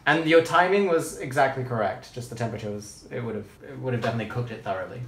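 A man's voice: medium pitch, fast. A young man in casual banter from behind the camera, teasing and playful. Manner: teasing, playful, laughs often. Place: small home kitchen. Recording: echoey room, off-mic, clear noise.

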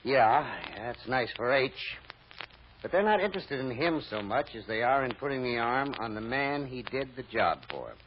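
An American actor playing a wary, wisecracking private detective in an old-time radio drama, talking in skeptical slang. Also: male voice, medium pitch, expressive, normal speed, close to an everyday voice. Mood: wary, warning. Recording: noisy, muffled vintage radio recording.

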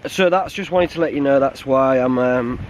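English man, 30s, hiking vlogger; subdued, halting talk to a handheld camera outdoors, faint wind noise. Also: medium pitch. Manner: subdued, halting and grieving, with many restarts. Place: outdoors on a windy winter mountain, sheltering behind a stone wall. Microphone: camera held close at arm's length.

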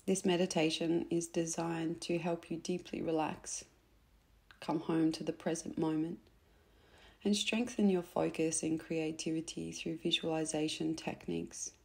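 A woman guiding a meditation in a soft, gentle, unhurried way, with phrase endings that turn breathy. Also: low-pitched, flat delivery. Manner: gentle, unhurried, instructing softly with pauses. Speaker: meditation guide. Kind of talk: soothing guided meditation narration.

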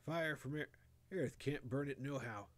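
Male narrator as old dying rustic grandfather, horror storybook, higher strained pitch, wheezy, halting, mad urgency. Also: lightly acted. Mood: urgent, raving. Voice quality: wheezing, gasping, choked. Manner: halting rustic dialect speech, urging.

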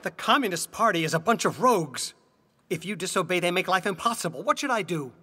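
A man's voice: sits high, animated, a little fast, bright, forceful tone, a little hiss or hum. A man playing an anxious middle-aged husband, pleading and exasperated, in a dubbed stage drama. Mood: desperate. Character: anxious, pleading husband afraid of losing his job. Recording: reverberant hall-like sound.

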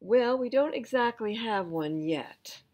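An older woman voicing a gentle, simple, earnest toy bear in a children's storybook. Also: on the high side, animated, slow pace, voice pushed up. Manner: earnest, thoughtful.

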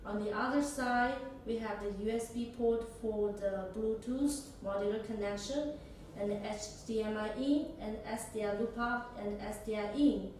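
A young woman demonstrates a product step by step, speaking in halting phrasing. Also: a little high, darkish tone. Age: young adult. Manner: halting non-native English phrasing. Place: small indoor office or meeting room. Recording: echoey room, distant mic, noisy background.

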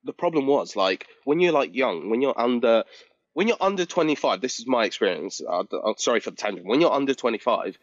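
A man's voice: mid-range voice, very animated, fast talker, dry recording, highs cut off. A man in his twenties, a guest on a video call, chatting casually in a rambling way with lots of fillers, seated outdoors under a gazebo. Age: 20s. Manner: rambling, self-deprecating, many fillers. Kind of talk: casual chat. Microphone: video call with headset.

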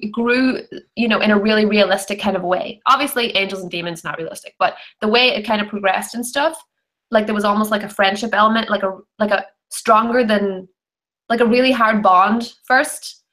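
A woman, giving her opinions in a chatty, animated way in a casual book discussion. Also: medium pitch, brisk. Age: 20s. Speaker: book club panelist. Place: bedroom, indoors. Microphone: computer video call.

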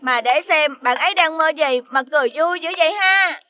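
A high, bright, perky little cartoon companion. It sounds childlike and helpful, dry and close, with a Southern Vietnamese accent. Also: female voice, moderate pace, no room sound. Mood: playful, proud. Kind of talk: animated children's cartoon dubbing.

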